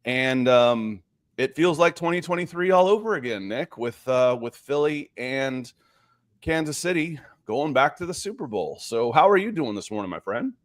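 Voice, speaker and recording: A man's voice: medium pitch, animated, moderate pace, no room sound, studio quality. A man in his fifties hosting a casual live sports podcast, chatting conversationally with frequent asides. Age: 50s. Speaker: podcast host.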